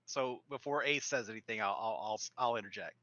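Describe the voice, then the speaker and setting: A man playing in a tabletop game, speaking haltingly and repeating his words as he describes his actions. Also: on the low side, pushed and bright. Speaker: player. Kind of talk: casual tabletop play. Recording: dull lo-fi microphone.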